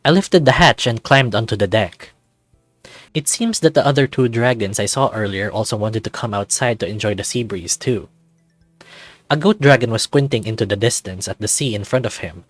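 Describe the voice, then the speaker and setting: A man's voice: on the low side, normal speed, dry recording, faint music behind. A man reading visual novel narration and game text aloud.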